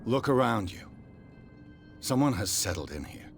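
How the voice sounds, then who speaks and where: An actor playing a weary, wry private detective in a video game, brooding and muttering to himself. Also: male voice, fairly low pitch, big pitch swings, measured pace, creaky, faint music behind, heavily acted. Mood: probing, insistent.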